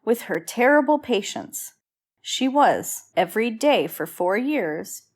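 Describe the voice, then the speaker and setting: A woman's voice: on the high side, sing-song, slow, darkish tone. A woman giving scripted, dramatic narration for an old-time radio play.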